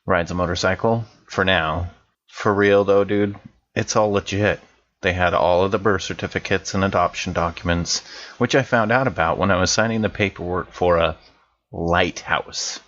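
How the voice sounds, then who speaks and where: A low-voiced, easygoing dude played by a man in an audio drama, chatty and slangy as he eagerly shares news. The sound is a little dull and muffled. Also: fairly even intonation, moderate pace, a bit bright. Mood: chatty excitement. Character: excitable laid-back dude sharing personal news.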